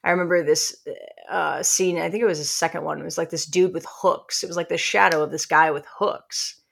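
Low, creaky woman in casual chat. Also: steady tone, a little fast, a bit bright, slight background noise. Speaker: podcast co-host.

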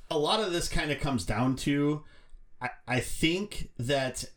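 A man co-hosting a podcast, discussing films casually in a measured, explanatory way. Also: medium pitch. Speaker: podcast co-host.

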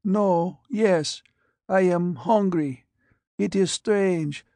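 A man's voice: high voice, warm tone, dry recording, pitched above the performer's own voice, exaggerated voice. A man voicing a withered, whispering patient. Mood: weak, dazed. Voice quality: cracked whisper. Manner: weak, halting. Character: frail withered young man aged like an ancient.

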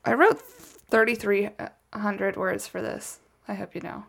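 A woman's voice: mid-range voice, steady tone, a little slow, gravelly, fairly bright tone. A woman telling a true crime story casually from her notes, as a podcast host, with chatty, wry asides.

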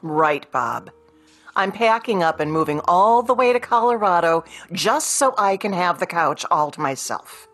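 Woman acting practical career woman and ex-wife, conversational, placating, expressive pitch, radio drama, light music. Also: measured pace. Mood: exasperated. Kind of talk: radio play dialogue.

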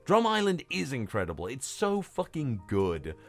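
Animated, swearing man on a podcast. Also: mid-range voice, normal speed, faint music behind. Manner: profane, emphatic, often stammering restarts. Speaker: podcast co-host. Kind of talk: casual excitable chat about manga.

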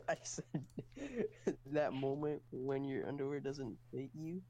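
A woman's voice: rumbling low, takes it slowly, dark tone. A woman joking on a casual podcast over voice chat, tossing in short quips. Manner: short interjections, joking, slips into Spanish. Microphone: online voice chat.

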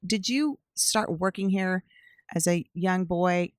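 A woman's voice: on the low side, slow pace, no room sound, studio quality. A woman hosting a casual interview, asking curious, upbeat questions. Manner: curious, upbeat, laughs often. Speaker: podcast host.

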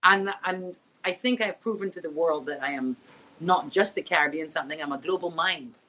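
A woman's voice: low voice, moderate pace, bright, forceful tone, poor audio. Woman, sportscaster guest, emphatic and reflective, talking over a remote call. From Barbados. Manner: emphatic, reflective, repeats key words. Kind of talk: casual interview chat.

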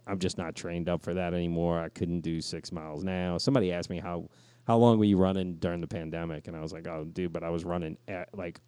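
A man's voice: deep voice, mellow, clean tone, no room sound. A man co-hosting a podcast, telling slangy, joking stories in a casual, chatty way. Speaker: podcast co-host.

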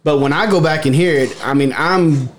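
A man's voice: a little high, normal speed, crowd noise. A man on a paranormal investigation team, talking casually and emphatically, often repeating himself. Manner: repetitive, restarts often, emphatic. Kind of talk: casual group discussion.

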